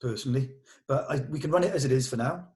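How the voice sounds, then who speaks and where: Measured male director giving notes. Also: mid-range voice, a little mellow. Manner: measured, diplomatic, explanatory. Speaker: director leading a script table read. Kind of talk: giving notes to actors and writer.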